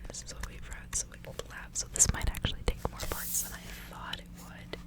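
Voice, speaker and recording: A woman whispering close to the mic in a breathy, unvoiced ASMR style, unhurried and informal as a host. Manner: casual, rambling, frequent filler "like". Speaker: ASMR host reading a book aloud. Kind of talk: whispered ASMR reading and casual chat. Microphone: close ASMR microphone.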